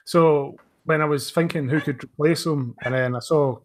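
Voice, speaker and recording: A man's voice: a little high, fairly even intonation, moderate pace, a little hiss or hum. A man speaking casually as an audio drama creator answering questions about his show. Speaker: audio drama writer and director.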